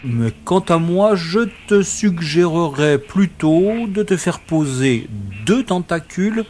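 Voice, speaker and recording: A man's voice: on the high side, animated, takes it slowly, darkish tone, a little hiss or hum. A man playing a teasing friend in a science-fiction audio drama, with a drawling delivery. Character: teasing male friend of a clumsy spaceman.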